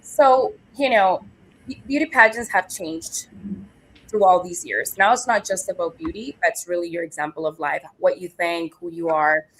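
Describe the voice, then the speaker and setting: A woman's voice: fairly low pitch, moderate pace, a bit bright, poor audio. A woman from Venezuela speaking as a guest on a panel show, explaining her work at length in an earnest way. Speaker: panel guest, pageant producer. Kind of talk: casual panel conversation.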